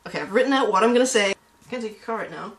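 A woman's voice: medium pitch, lively intonation, rapid-fire. A young woman in her twenties chats in a casual comic vlog manner. She is playful and self-mocking, with a mid-range voice, and the webcam sound carries plenty of room echo. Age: 20s. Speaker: comedy vlogger. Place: small room at home. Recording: roomy home webcam sound.

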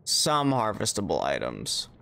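A man's voice: fairly low pitch, huge pitch swings, measured pace, gravelly, bright, forceful tone. A man chatting casually on a gaming livestream, swearing freely and poking fun at himself. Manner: casual, profane, self-deprecating. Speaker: game streamer. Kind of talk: casual livestream gameplay chat. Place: indoor room, streaming setup. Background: game music.

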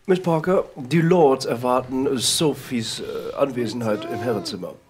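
A man's voice: mid-range voice, normal speed, a little mellow, husky, lightly acted. A man voicing a dutiful, obsequious manor butler with a formal, deferential and hesitant way of talking.

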